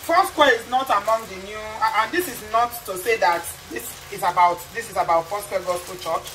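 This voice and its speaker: A woman's voice: mid-range voice, fairly quick, a bit bright, poor audio. Nigerian woman, middle-aged, impassioned, repeats herself, kitchen, frying in the background. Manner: impassioned, rhetorical questions, repeats phrases for emphasis. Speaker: vlogger. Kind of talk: cooking vlog with social commentary. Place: home kitchen, indoors. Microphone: camera on the counter. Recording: frying noise behind voice.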